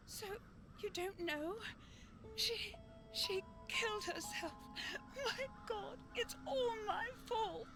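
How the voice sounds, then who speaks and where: A woman playing a guilt-stricken young servant girl in a video game, confessing haltingly. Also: extremely high pitch, heavily acted. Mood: dazed, then tearful. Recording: loud music behind the voice.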